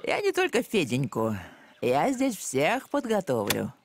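A woman's voice: low voice, huge pitch swings, unhurried, slight background noise, heavily acted. A woman voicing a bossy, self-assured nanny who gives orders like a drill-sergeant coach in a children's cartoon. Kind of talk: animated children's cartoon dialogue.

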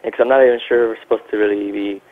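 A man's voice: on the low side, steady tone, very fast. A man who works in a store, speaking hesitantly and unsure of himself on the phone. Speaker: store employee. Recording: tinny phone line.

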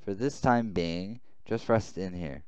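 A man's voice: low voice, unhurried, darkish tone, clean tone, dry recording. A man voicing a calculating, persuasive male rebel soldier in a visual novel game, coaxing as he talks.

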